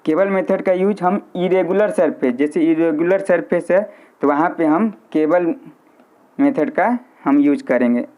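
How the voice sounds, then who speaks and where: A man's voice: on the high side, measured pace. A man giving an informal instructional lecture, repeating key points and mixing in English technical terms. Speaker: physiotherapy teacher. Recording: muffled, narrow lo-fi sound.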